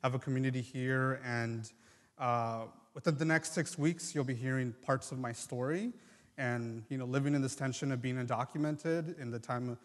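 Male guest speaker, 20s, Triqui first language, medium pitch, sincere hesitant testimony in a reverberant hall. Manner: halting, earnest. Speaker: guest speaker, medical student. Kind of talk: personal testimony to congregation. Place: large church room, live address.

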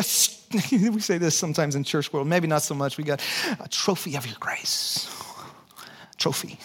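A man with a Texan accent preaching a conversational, animated sermon, dropping to hushed low lines and jumping into lively high asides. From Texas. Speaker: pastor. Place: church sanctuary.

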